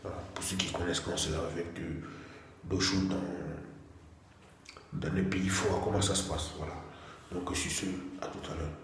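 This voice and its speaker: A man's voice: sits low, flat delivery, moderate pace, a little hiss or hum. A man with a cold, chatting casually to his phone camera in a small bathroom at home, repeating himself and restarting his sentences. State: has a cold. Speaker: vlogger. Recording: echoey room with faint ambience.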